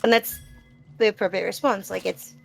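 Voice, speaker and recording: Creaky-voiced woman gamer in a live Q&A. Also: mid-range voice, fairly even intonation, normal speed, soft background music. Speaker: gamer being interviewed. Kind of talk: live Q&A, casual personal talk.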